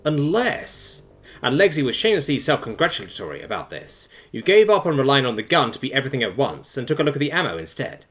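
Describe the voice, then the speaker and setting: A man reading a science fiction story aloud as a scripted, expressive audiobook narration. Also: mid-range voice, quick pace, faint music behind.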